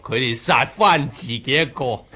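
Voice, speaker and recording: Slow, chuckling trickster. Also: male voice, medium pitch, animated, sharp tone. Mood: gleeful. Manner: chuckling, nonchalant. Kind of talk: Cantonese radio drama acting.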